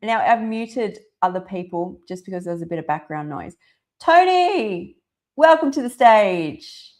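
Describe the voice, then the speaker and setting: A woman from Australia hosting a live video call, bubbly and excitable, laughing often. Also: medium pitch, a little slow. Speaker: host. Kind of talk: casual live-stream chat.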